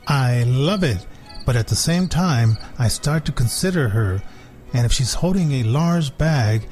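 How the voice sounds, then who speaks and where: Smug, possessive storybook cat, voiced by a man, mid-pitched, slow and measured, music behind. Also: lively intonation, darkish tone, lightly acted. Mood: smug, unhurried. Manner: deliberate, self-satisfied storybook telling with pauses. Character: smart, smug, possessive pet cat telling its life. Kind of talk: children's story reading.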